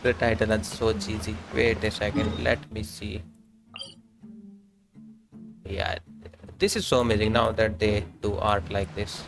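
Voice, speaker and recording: A man's voice: fairly low pitch, flat delivery, unhurried, gravelly, pauses often. A man live-streaming a game, commenting casually and reading dialogue aloud as he plays. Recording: game music behind the voice. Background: game music.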